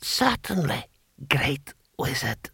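Halting, breathy ancient cruel emperor. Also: male voice, mid-range voice, very animated, pushed and bright, no echo, clean studio sound, full character voice. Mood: gleeful cruelty. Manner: slow, halting, drawn-out. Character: ancient cruel emperor, gleeful, whimsically murderous old man. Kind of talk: audiobook character voice.

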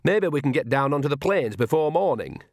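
A man's voice: fairly high pitch, lively intonation, a little fast, no room sound, studio quality, pitched above the performer's own voice. A man doing a bossy, cheerful old witch woman. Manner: breezy, blunt and commanding.

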